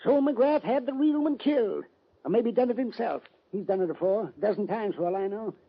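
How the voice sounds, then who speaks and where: A man playing a stubborn, gruff old sheriff in a radio western. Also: high-pitched, expressive, brisk, heavily acted. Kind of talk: 1940s-50s radio western acting. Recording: muffled old-time radio recording.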